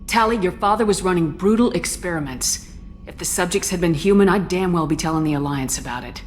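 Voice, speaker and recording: An actress voicing a steady female commander who speaks directly and firmly. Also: on the low side, fairly quick, slight background noise, close to an everyday voice. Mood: persuasive. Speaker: player character. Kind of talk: video game voice acting. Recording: game audio with music behind.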